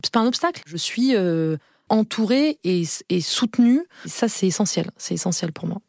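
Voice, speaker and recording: A woman's voice: medium pitch, animated, normal speed, gravelly, a little mellow, dry recording, studio quality. A woman, a lawyer answering podcast interview questions in an earnest, frank way, often hesitating and repeating herself. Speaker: lawyer and law firm partner.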